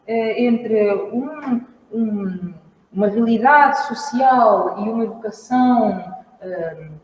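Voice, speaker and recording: Woman with a Lisbon accent presenting a song on stage; measured, explanatory delivery in a long-reverb hall. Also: a little high, darkish tone. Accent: Lisbon Portuguese. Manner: measured, explanatory, pausing to search for words. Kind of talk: spoken introduction at a live event. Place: large hall at a library event. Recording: echoey hall, mic at a distance.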